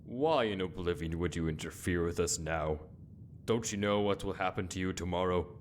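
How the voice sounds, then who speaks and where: Man acting a deep, menacing inquisitor. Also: normal speed, slight background noise, lightly acted. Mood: contemptuous, threatening. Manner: solemn, accusatory rhetorical questioning. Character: stern, menacing male inquisitor, contemptuous and commanding. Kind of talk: dramatic fantasy game monologue.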